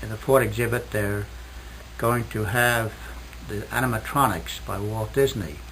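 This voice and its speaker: A man giving a technical explanation as a radio interview guest, speaking in a halting, hesitant way with precise wording. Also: lowish voice, fairly bright tone. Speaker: interview guest, loudspeaker inventor. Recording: noisy old 1960s radio recording.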